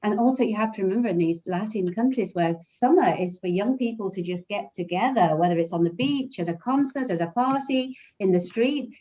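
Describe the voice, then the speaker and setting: A woman on a panel discussion, talking in an animated way and often repeating words as she thinks. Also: on the high side, muffled recording. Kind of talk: video call panel discussion.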